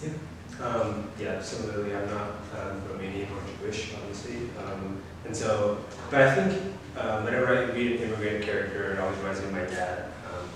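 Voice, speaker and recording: A man's voice: lowish voice, steady tone, brisk, few pauses. A man who is an actor, reflecting casually in a group discussion. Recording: echoey room, distant mic, clear noise.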